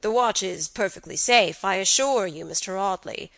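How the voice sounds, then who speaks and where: A woman's voice: mid-range voice, normal speed, creaky, fairly bright tone, dry recording. A woman voicing a peevish, self-pitying old grandfather in a storybook, plaintive and apologetic. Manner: plaintive, murmuring, repeats himself.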